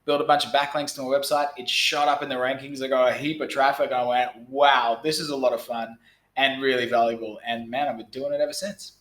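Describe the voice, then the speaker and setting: A man's voice: mid-range voice, fairly even intonation, fairly quick, a bit bright, reverberant. A man in his thirties from Australia with an Australian accent, a podcast guest talking bluntly and casually, often restarting his sentences. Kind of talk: casual interview talk.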